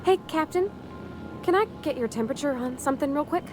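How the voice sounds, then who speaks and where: An actress playing a sweet, shy young ship mechanic in a video game, speaking with a rural Southern American accent in a folksy, hesitant, earnest way. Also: female voice, sits high, animated, quick pace, over music. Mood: shy, hopeful. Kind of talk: video game character acting.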